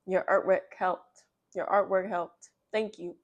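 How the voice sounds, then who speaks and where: A woman's voice: lowish voice, steady tone, unhurried, highs cut off. A kindly, grateful storybook man with polite manners, read by a woman. The delivery is courteous and plainly spoken. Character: grateful, polite, kind man at a food pantry.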